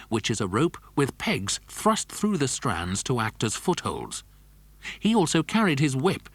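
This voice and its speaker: A man's voice: fairly low pitch, fairly bright tone, no echo. A man narrating a children's adventure story from a script, as in an audiobook dramatisation.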